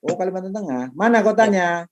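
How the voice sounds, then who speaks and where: A man's voice: high voice, lively intonation, a little fast, a little mellow, lo-fi sound. A man, a lecturer and trainer talking informally and chattily to his class. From Madiun, East Java, Indonesia. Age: middle-aged, late 50s. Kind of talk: informal class introduction, chatty autobiographical talk.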